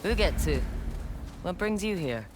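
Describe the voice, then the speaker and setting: A woman playing a tough, reserved wandering swordswoman in a video game, with a low, dry, understated voice. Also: lively intonation, measured pace, background noise. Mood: dry curiosity. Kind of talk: scripted video game dialogue. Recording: loud game ambience behind voice.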